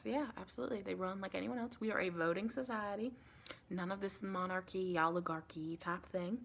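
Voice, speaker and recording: Casting: woman to play sweet, rambling American vampire lady, ASMR roleplay, medium pitch with plenty of vocal fry. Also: fairly bright tone. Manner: chatty, rambling, reassuring, calls listener sweetie. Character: sweet, reassuring female vampire welcoming a new recruit.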